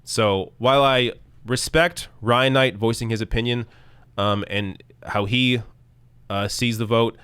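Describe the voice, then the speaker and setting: A man hosting a live political commentary stream, arguing bluntly and reading tweets aloud, with a voice that is going hoarse. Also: mid-range voice, steady tone, measured pace, a bit bright, dry recording. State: losing his voice.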